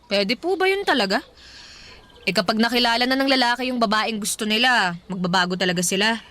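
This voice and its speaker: A young woman playing a stubborn, heartbroken Filipina daughter turning tomboy in a radio drama, in casual, slangy, expressive speech. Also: on the high side, a little fast. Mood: teasing, wistful. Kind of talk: Tagalog radio drama acting.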